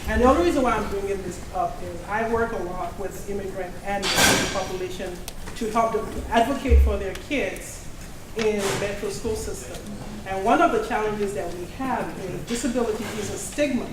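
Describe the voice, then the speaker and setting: A woman with a cold asks her question earnestly, restarting and correcting herself as she goes. She sounds distant in a roomy, echoing hall, with steady background noise. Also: mid-range voice, normal speed. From Nigeria. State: has a cold. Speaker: special education advocate, audience member. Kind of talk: public question at a community meeting. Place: community meeting hall.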